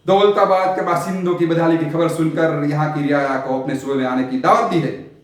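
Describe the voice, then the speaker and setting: An actor playing a world-weary, eccentric sultan in a stage monologue, grand and theatrical, with long dramatic pauses. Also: male voice, a little high, lightly acted. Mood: suspicious, questioning. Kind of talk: Hindi stage play monologue, poetic Urdu diction. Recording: very echoey, reverberant room.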